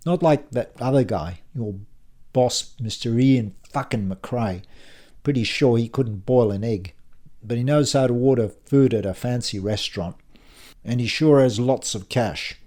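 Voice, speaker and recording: A man's voice: mid-range voice, dark tone, close to an everyday voice. A man voicing a volatile, sarcastic, foul-mouthed drunken man in an audiobook.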